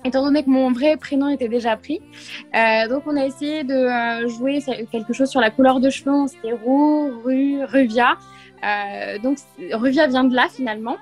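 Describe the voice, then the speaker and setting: A woman's voice: sits high, fairly even intonation, moderate pace, faint music behind. A young woman from Limoges, a singer answering radio interview questions, hesitant with many fillers and little laughs. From France, Limoges. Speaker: singer guest.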